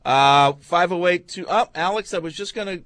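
A man's voice: sits high, moderate pace, fairly bright tone, no room sound. A man hosting a sports talk radio show, chatting with hesitant fillers and restarts. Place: radio studio.

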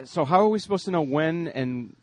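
A man co-hosting a sports talk show, answering in short, deadpan lines. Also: a little high, fairly quick. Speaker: co-host. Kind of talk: sports radio banter.

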